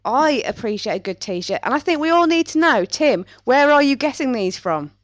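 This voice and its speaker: Higher-pitched woman hosting, close and dry. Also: animated, moderate pace, no room sound. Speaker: podcast host. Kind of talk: casual podcast chat.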